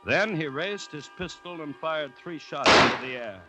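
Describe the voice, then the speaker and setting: A man acting an anxious commissioner who narrates a 1940s radio drama in a measured, dramatic way full of dread. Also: a little high, animated, loud music behind the voice, lightly acted. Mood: tense narration. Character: anxious male government commissioner recounting a dreadful siege. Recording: restored 1940s radio broadcast.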